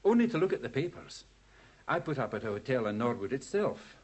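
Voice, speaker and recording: An actor playing an anxious, polite young solicitor in 1950s radio drama, with an English accent and a nervous, hesitant, stammering delivery. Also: male voice, mid-range voice, big pitch swings, fairly quick, a little mellow, slightly rough. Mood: nervous, recounting. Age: young adult. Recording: old 1950s radio recording.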